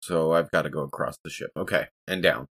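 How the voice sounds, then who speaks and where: A man's voice: very deep voice, brisk, gravelly, fairly bright tone, slightly rough. A man playing a tabletop role-playing game, speaking casually in short, clipped phrases.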